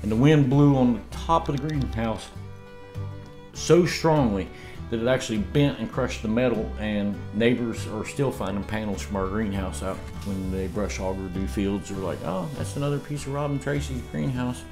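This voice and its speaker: A man's voice: lowish voice, normal speed, over music. A man in his fifties telling rambling stories in a casual chat, recorded at his dining table on a camera across the table. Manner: rambling storyteller with laughs and restarts. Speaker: homesteading vlogger. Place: home dining room, indoors.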